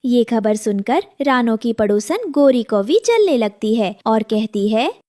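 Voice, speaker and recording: A woman's voice: on the high side, animated, a little slow, darkish tone. A young adult woman narrating a scripted cartoon story.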